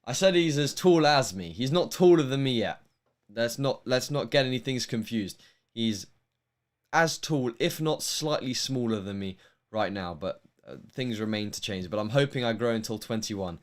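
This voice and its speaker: A man's voice: mid-range voice, expressive, moderate pace. A young man from London with a posh English accent, hosting a tennis livestream and chatting casually, rambling with lots of ums and restarts. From England. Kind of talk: casual livestream chat answering viewer questions.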